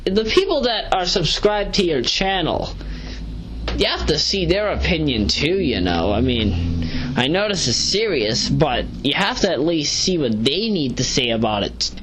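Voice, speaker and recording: A woman's voice: deep voice, big pitch swings, a little slow, music in the background, rough recording. A woman giving a casual, rambling audio review, sleep-deprived but hyper, digressing and correcting herself in slangy talk as she paces around. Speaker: video reviewer, vlogger. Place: pacing around while recording.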